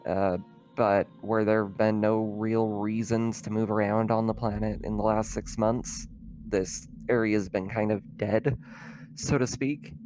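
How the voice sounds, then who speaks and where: Low-voiced man running a tabletop game. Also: little pitch movement, a little slow, a little mellow, faint music behind. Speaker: game master. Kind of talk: improvised tabletop game narration.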